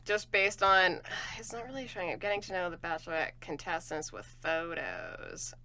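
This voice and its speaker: A woman's voice: lowish voice, lively intonation, moderate pace, sharp tone. A woman co-hosting a podcast, chatting playfully and laughing easily. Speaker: podcast co-host. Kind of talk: casual chat.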